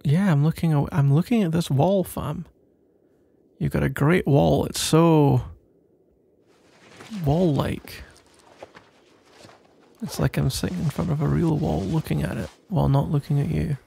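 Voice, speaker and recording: A man playing a shy, sleepy, smitten young best friend in an intimate ASMR roleplay, stammering and awkward, with a gravelly, breathy voice. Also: fairly high pitch, steady tone, warm tone, heavily acted. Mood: flustered, embarrassed. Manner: halting, stammering, awkward, mumbling.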